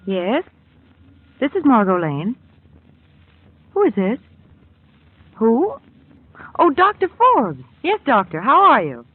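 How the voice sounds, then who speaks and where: A woman's voice: a little high, wildly swooping pitch, slow pace, long pauses, breathy edge, exaggerated voice. An actress playing a poised young woman who is the hero's companion in an old radio drama. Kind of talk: 1940s radio acting. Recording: tinny old radio broadcast with faint hiss.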